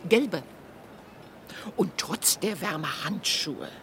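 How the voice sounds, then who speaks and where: A woman's voice: fairly low pitch, lively intonation, extremely slow, fairly bright tone, a little hiss or hum, full character voice. An actress playing a chatty, observant kiosk woman and housewife giving matter-of-fact testimony in a German radio crime drama.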